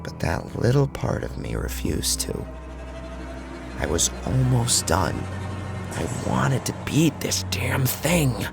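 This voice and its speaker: A man's voice: medium pitch, very animated, slow. A man narrating a first-person horror story in an intense, theatrical, scripted style. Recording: clear music bed under narration.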